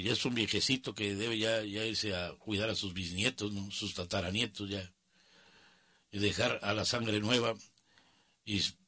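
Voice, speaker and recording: A man's voice: fairly low pitch, bright, forceful tone, dry recording. A man with a Mexican accent hosting a radio sports show, commenting casually with opinions and colloquial, grumbling asides. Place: radio studio.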